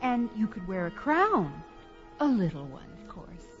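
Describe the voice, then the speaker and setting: A woman's voice: mid-range voice, big pitch swings, unhurried. An actress playing a young desert queen in an audio fantasy drama, regal, coy and airily haughty. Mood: airily condescending. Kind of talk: audio sword-and-sorcery drama acting. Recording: dull lo-fi audio, faint music.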